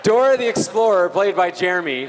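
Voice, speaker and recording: A man's voice: high-pitched, lively intonation, brisk. A man hosting a live improv comedy show with playful, teasing announcements. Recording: echoey live hall, faint noise.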